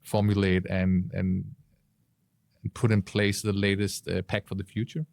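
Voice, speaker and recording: A man speaking conversationally on a podcast panel, with hesitant, deliberate phrasing. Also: low-pitched, flat delivery, gravelly, a little mellow, dry recording, clean studio sound.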